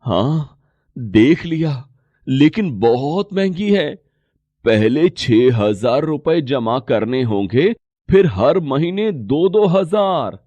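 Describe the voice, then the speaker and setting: A man's voice: medium pitch, animated, takes it slowly, dark tone, no room sound, lo-fi sound, pitched below the performer's own voice. A man voicing a poor, determined rickshaw-driver father in a moral story, speaking earnestly and reassuringly. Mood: earnest, resolute. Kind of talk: Hindi moral story dialogue.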